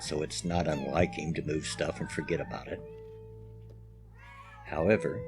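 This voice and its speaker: Very deep-voiced man narrating over music. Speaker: story narrator. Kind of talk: first-person scary story narration.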